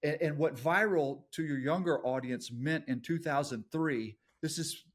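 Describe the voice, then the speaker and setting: A man's voice: a little high, moderate pace. A middle-aged man with an East Texas accent, a guest telling his life story in a jokey, self-deprecating way. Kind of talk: casual storytelling interview.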